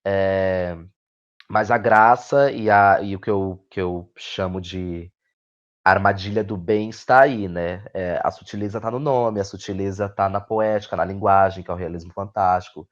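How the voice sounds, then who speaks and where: A man's voice: low-pitched, fairly even intonation, moderate pace. A man with a Brazilian Portuguese accent talking reflectively as an interview guest, often repeating words and adding tag questions. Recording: dull, lo-fi audio.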